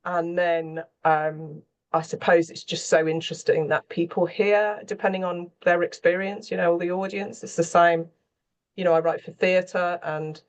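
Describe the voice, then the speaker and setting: A woman speaking as a scriptwriter on a panel, candid and thoughtful, often pausing mid-sentence as she reflects. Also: on the low side, low-quality recording. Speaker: scriptwriter for radio and theatre.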